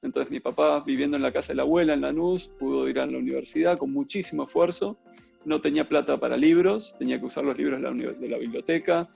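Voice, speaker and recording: Gravelly Argentine man, from Buenos Aires. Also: mid-range voice, fast talker, darkish tone, dull audio, faint music behind. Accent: Buenos Aires Argentine Spanish. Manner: rambling storytelling with many fillers. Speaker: guest. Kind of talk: casual interview.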